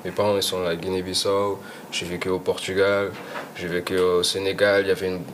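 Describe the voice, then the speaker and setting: Relaxed slangy young man, lowish voice. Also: brisk, slight background noise. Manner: relaxed, slangy, trails off and restarts. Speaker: rapper guest. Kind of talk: casual chat.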